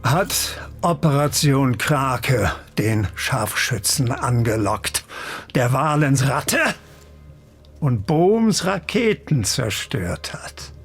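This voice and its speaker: An actor playing a calculating senior German officer who speaks in measured tones with a German accent. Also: male voice, mid-range voice, very animated. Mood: cold. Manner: measured, deliberate. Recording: distant, with music behind.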